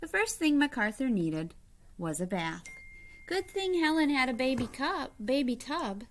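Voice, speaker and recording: A woman's voice: sits high, sing-song, unhurried, slight background noise. A woman reading a picture book aloud like a teacher, explaining as she goes and putting simple questions to young listeners.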